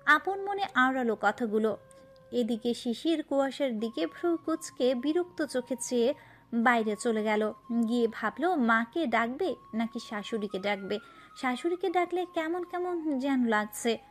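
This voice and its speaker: High-voiced woman narrator. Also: expressive, normal speed, a little hiss or hum. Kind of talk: scripted narration of a romantic story.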